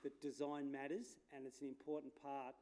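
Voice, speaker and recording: Aussie guy presenting at a conference, loud voice, lots of ums, easygoing. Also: medium pitch, little pitch movement, moderate pace. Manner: chatty, self-deprecating, with fillers; says his voice is loud. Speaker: landscape architect giving a talk. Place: lecture room at a conference.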